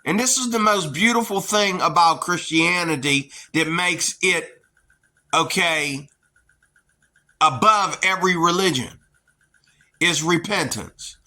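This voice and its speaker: Forceful, preachy American man. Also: on the high side. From United States. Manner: preachy and blunt, scolding with emphatic repetition. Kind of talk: heated opinion monologue.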